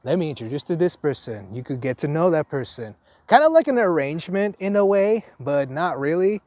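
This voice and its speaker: A man's voice: fairly high pitch, very animated, fairly quick, darkish tone, no room sound. Indonesian-accented young man, rambling vlog talk. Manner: rambling, many restarts and fillers. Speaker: vlogger, foreign teacher. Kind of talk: unscripted casual vlog talk.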